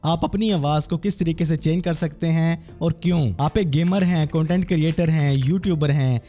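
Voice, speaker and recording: A man narrating a scripted software tutorial as its presenter. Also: a little high, moderate pace, faint music behind.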